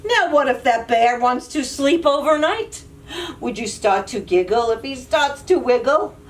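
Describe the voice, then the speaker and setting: A woman reading picture books aloud to young children, with an animated, playful, questioning delivery. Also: sits high, a little slow. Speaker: children's librarian and storyteller. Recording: distant mic, audible background noise.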